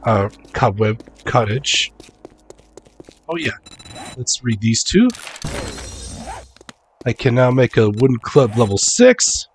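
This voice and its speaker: A man getting over a cold, a bit hoarse, giving casual gaming commentary, repeating words as he talks himself through each task. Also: mid-range voice, sing-song, slow pace, pauses often, a little hiss or hum. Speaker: streamer, game commentator.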